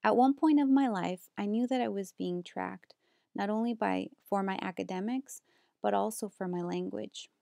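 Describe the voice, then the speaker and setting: Young woman with a gravelly, dry voice. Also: medium pitch, no echo. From United States. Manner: steady, earnest, measured reading. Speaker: graduate student presenter. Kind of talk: reading a prepared slideshow presentation.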